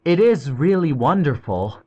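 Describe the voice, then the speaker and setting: Man voicing a bossy young boy, husky. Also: on the high side, sing-song, unhurried, pitched above the performer's own voice, full character voice. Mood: patronizing. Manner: bossy, reassuring. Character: bold patronizing young boy.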